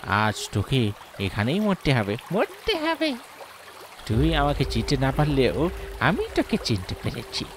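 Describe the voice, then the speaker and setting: A man's voice: medium pitch, huge pitch swings, unhurried, background noise. A man voicing a murderous, taunting swamp ghost that threatens its victim in a horror cartoon. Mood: menacing.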